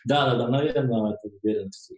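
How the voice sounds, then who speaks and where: Male comic guest, casual and halting, mid-pitch, roomy video-call audio. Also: crowd noise. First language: not English. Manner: hesitant, lots of fillers and restarts. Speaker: guest, stand-up comic and screenwriter.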